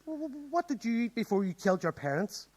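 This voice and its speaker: A man's voice: very high voice, big pitch swings, fairly quick, darkish tone, full character voice. An actor playing an exasperated, sarcastic young man in a radio comedy play. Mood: baffled. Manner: flustered, sarcastic.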